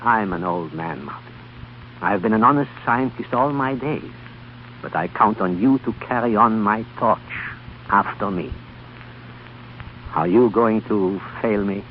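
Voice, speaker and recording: Man playing a grave old scientist, low voice. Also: measured pace, pauses often, lightly acted. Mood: solemn appeal. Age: older. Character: grave, exacting old male scientist and mentor. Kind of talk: old-time radio drama acting. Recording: muffled, noisy old radio broadcast.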